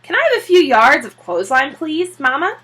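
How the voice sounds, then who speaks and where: Eccentric storybook husband, acted by a woman, folksy, good-humored, pitched high, sharp bright tone. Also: sing-song, a little fast. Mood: polite request. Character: mild, eccentric husband, pleased with his pet penguin.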